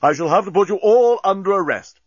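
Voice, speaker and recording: A man's voice: medium pitch, very animated, moderate pace, slightly rough, dry recording, muffled recording. A man voicing a stern, indignant starship captain with a pompous, formal manner in a storybook.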